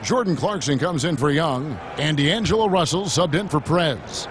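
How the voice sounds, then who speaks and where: A man voicing a brisk TV basketball play-by-play announcer in a sports video game. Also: fairly high pitch, expressive, noisy background, lightly acted. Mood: brisk, engaged. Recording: loud music behind, game sound.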